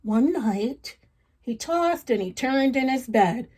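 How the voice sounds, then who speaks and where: A woman reading a children's story aloud, gentle and expressive, chatting to her young listeners as she goes. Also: sits high, slow pace, slight background noise.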